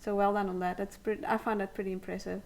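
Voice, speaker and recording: A woman's voice: mid-range voice, level tone, quick pace, rough recording. Encouraging woman interviewer. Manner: encouraging, affirming, hesitant phrasing. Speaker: interviewer, host. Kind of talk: supportive casual interview.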